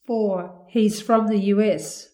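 A woman slowly reading English listening-test items with careful, clear enunciation. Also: medium pitch, fairly even intonation, darkish tone.

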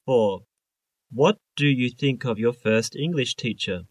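A man reading scripted English lesson sentences for a listening test, in a measured, carefully enunciated way. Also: medium pitch, no room sound. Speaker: exam listening test reader.

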